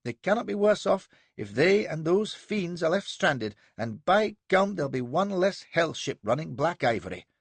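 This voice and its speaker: A man voicing an eager, hot-tempered young naval officer who barks orders in a high, cracking voice. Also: moderate pace, gravelly, hardly any pauses, husky, no room sound, heavily acted. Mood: resolute. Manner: excitable, shrill, barking orders.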